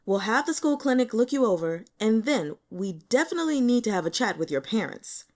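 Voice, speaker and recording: A woman's voice: a little high, big pitch swings, fairly quick. A woman voicing a brash, cocky male boss in an audiobook, flippant and casually bossy as he teases and lectures. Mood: brash scolding.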